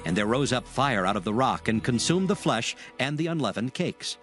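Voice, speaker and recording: A man narrating scripture from a script in a solemn, measured storytelling manner. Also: mid-range voice, lively intonation, a bit bright, faint music behind.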